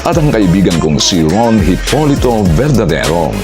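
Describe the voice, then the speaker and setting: A man with a Filipino accent hosting a channel, giving shoutouts and thanks to his followers. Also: deep voice, very animated, moderate pace. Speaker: channel host. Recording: loud music bed behind voice.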